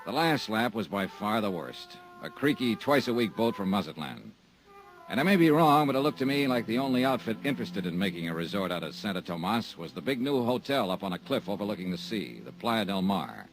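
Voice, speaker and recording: An actor playing a wry, hard-boiled insurance investigator who narrates and trades dry, sardonic quips in a 1950s radio drama. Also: male voice, lowish voice, very animated, fairly quick, rough recording. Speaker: narrator and lead.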